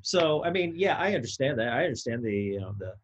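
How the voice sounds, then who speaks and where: A man's voice: mid-range voice, very animated, fast, husky. Chatty, opinionated man in conversation. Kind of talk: casual conversation.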